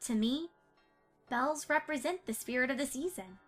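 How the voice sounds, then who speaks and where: A woman's voice: sits high, lively intonation, moderate pace, faint music behind. A woman playing an earnest, bookish young female pony who explains things precisely, in an amateur audio drama. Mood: earnest, wistful.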